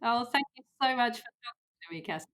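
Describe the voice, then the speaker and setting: A woman hosting a podcast, who listens softly and affirmingly, then reads a clear sign-off. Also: a little high, lively intonation, a little fast. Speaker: podcast host. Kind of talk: interview and scripted outro.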